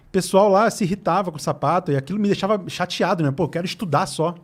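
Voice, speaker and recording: A man from Rio de Janeiro with a Carioca accent, a guest on a podcast, telling stories from his school years in a quick, animated way with easy laughs. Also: sits high. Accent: Carioca Portuguese. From Rio de Janeiro, Brazil. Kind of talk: casual podcast conversation. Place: podcast studio.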